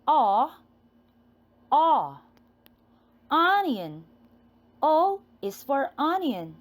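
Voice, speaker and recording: A woman teacher with a Filipino accent gives a phonics lesson for small children. She speaks extremely slowly, with deliberate repetition and a lively, sing-song rise and fall. Her voice sits at an average pitch for a woman.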